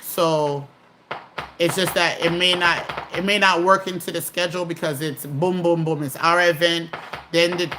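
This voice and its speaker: A woman's voice: on the low side, steady tone, normal speed, noisy background. A woman casually hosting a live stream, chatting and reading viewer comments aloud.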